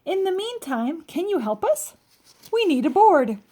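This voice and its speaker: A woman's voice: extremely high pitch, very animated, measured pace, warm tone, slight background noise, exaggerated voice. A woman voicing a cheerful, earnest, literal-minded housekeeper and babysitter from a children's storybook. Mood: cheerful, helpful.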